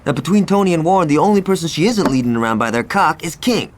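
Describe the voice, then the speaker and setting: An actor playing a young, foul-mouthed American street gang lieutenant in a game cutscene, swaggering and wry. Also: male voice, on the high side, lively intonation, quick pace, natural voice. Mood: gossipy, amused. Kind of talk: video game cutscene acting.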